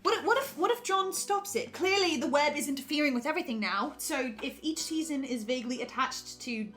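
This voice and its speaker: A woman's voice: high-pitched, expressive, a little fast, fairly bright tone. A woman in an in-character panel discussion, speaking in a hesitant, stammering, defensive way. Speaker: podcast panelist in character as a researcher. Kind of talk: semi-improvised in-character discussion of a horror audio drama. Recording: music playing behind the voice. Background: music.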